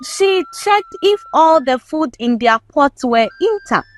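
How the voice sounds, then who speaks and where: A woman reading a folktale aloud as a storyteller, in a measured, expressive storybook delivery. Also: sits high, soft background music. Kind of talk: scripted folktale narration read aloud.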